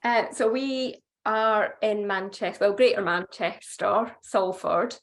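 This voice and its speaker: A woman's voice: medium pitch, slow pace. A Scottish woman in her thirties, a guest in casual chat on a video call, friendly and chatty. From Scotland. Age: 30s.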